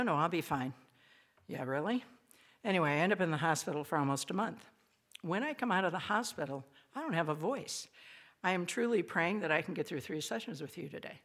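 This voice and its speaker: An older woman with a raspy voice gives a chatty, self-deprecating devotional talk to an audience in a small old hall. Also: lowish voice. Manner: lively, chatty, self-deprecating preaching with quick asides. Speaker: speaker.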